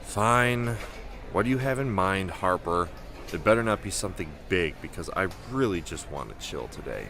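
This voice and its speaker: A man acts out a grumbling teenage boy in an amateur script read. The voice sits low and moves around a lot in pitch as he argues in an exasperated, sarcastic way. There is faint noise on the home recording. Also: expressive, moderate pace, a bit bright. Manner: exasperated, argumentative. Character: grumbling, sarcastic, reluctant male teen adventurer.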